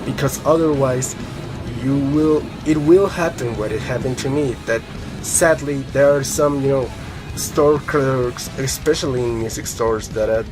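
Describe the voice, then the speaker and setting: A man's voice: mid-range voice, measured pace, poor audio. Young man, Colombian Spanish accent, rambling vlog chat, casual swearing, faint music behind. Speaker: guitar vlogger. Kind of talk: casual rambling monologue to camera.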